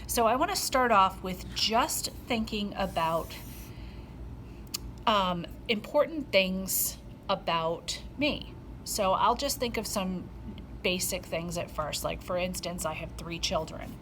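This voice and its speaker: A middle-aged woman teaching an art lesson, talking gently and patiently with plenty of ums. Her voice sits at an ordinary pitch. A clear background noise runs under her words. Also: slow, rough recording. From Rhode Island, USA. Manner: gentle, step-by-step explaining with frequent ums.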